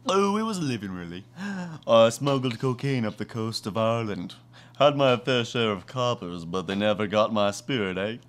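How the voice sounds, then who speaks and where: A man's voice: lowish voice, lively intonation, normal speed, lightly acted. A man playing a quirky old-sea-dog smuggler host. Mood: reminiscing. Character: eccentric male boat captain, cocaine smuggler, hospitable.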